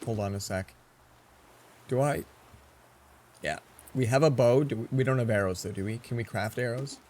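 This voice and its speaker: A man's voice: mid-range voice, moderate pace, a little mellow, slight background noise. A man in his forties giving casual gameplay commentary, rambling and chatty as he tells stories between moves. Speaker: let's play host.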